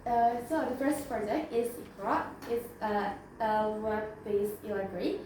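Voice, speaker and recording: A young woman with an Indonesian accent gives a class presentation in English, speaking haltingly with many fillers and self-corrections. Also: medium pitch, fairly even intonation, a little mellow. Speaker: student presenter. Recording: echoey, distant mic, background noise.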